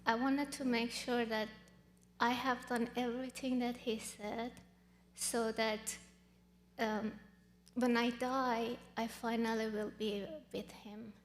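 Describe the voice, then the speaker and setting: A woman in her thirties from Iran, whose first language is Farsi, telling her own story in an interview, earnest and halting with many fillers. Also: a little high, flat delivery, big-room sound. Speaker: guest. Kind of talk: personal testimony interview.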